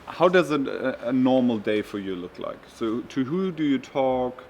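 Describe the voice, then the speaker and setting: Relaxed male vlogger asking questions. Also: mid-range voice, moderate pace, highs cut off, slight background noise. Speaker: vlog host and interviewer. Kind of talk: casual interviewing.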